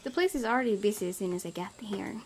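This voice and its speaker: A woman hosting a gaming video, reading story text aloud and chatting informally about it with expressive reactions. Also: medium pitch, quick pace, slight background noise. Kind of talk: reading a game's narration aloud with casual commentary.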